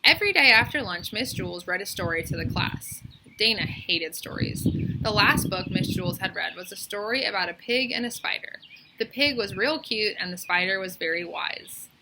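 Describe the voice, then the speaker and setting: A woman reading a children's story aloud as a teacher, in expressive narration. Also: medium pitch, normal speed, background noise.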